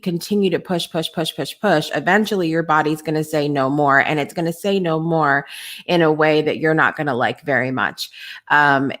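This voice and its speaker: A woman hosting a live podcast, talking in a casual, candid way with lots of ums and restarts, her voice hoarse and lower than usual. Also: fairly bright tone. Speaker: podcast host. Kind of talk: casual live-show chat.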